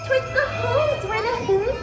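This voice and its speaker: Woman, very high girlish voice, theatrical rhyming storybook reading, loud music behind. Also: moderate pace. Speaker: costumed character storyteller. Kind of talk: animated children's storybook reading in rhyme. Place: theme park live performance. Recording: echoey live show, loud music behind.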